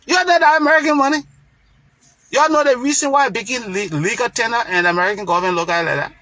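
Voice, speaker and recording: A man's voice: extremely high pitch, fast talker, sharp tone. A man from Liberia with a Liberian accent, giving an impassioned political monologue in an emphatic, preacher-like way, repeating phrases for stress. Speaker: political commentator.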